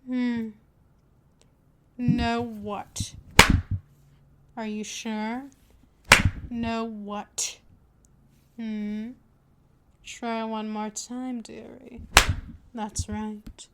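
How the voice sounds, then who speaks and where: Woman playing a slow, high-voiced dominant vampire mistress. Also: little pitch movement, very noisy. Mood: stern, possessive. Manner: slow, deliberate, coaxing, with drawn-out pet names. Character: dominant female vampire mistress, patient, teasing, possessive. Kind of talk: ASMR roleplay monologue.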